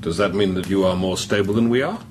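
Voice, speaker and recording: Man acting careful priest in a radio drama, kinda hesitant, gentle probing questions, noisy background. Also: low voice, fast talker, lightly acted. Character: thoughtful, cautious male priest interviewing an artificial intelligence. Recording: clear background noise.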